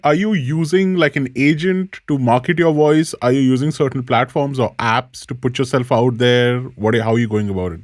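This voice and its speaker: Male talk-show host, medium pitch, dry close sound, leads the guest and recaps her points. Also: moderate pace, hardly any pauses, dry recording. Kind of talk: interview host.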